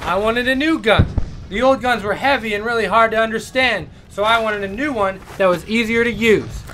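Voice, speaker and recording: Breezy, flippant young soldier voiced by a man, high pitch, confident, audio comedy with background noise. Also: normal speed, close to an everyday voice. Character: breezy, cheerful, flippant male army private. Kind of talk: comedic radio-play acting.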